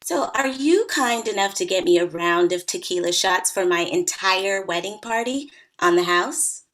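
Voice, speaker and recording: A woman's voice: medium pitch, expressive, moderate pace, a bit bright, natural voice. An actress in her early thirties playing a wry, teasing, hard-drinking bridesmaid in a comedy table read.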